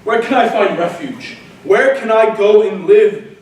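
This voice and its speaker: An actor playing a proud male warrior hero driven mad with grief, declaiming with anguished shouts and low despair. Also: expressive, measured pace. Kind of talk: stage tragedy acting. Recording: echoey room, far from mic, faint noise.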